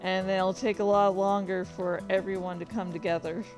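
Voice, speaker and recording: A woman's voice: mid-range voice, little pitch movement, normal speed, music in the background. A woman streamer chatting casually, rambling along with distracted asides. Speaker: streamer and indie author. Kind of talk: casual livestream chat.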